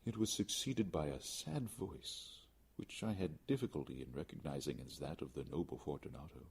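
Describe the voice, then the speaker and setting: Deep, husky male storyteller. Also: a little mellow. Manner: theatrical, deliberate, intense. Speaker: audiobook reader. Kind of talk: dramatic scripted narration of gothic fiction.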